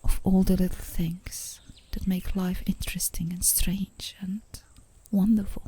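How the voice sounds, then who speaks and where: A woman playing a tender, playful, adoring girlfriend in an intimate ASMR romantic roleplay, speaking softly and gently, often in a whisper. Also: on the low side, little pitch movement, natural voice. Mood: gentle, hopeful. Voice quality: soft, breathy, often whispering. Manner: gentle, affectionate, unhurried, giggly. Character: tender, playful, adoring girlfriend comforting her partner outdoors.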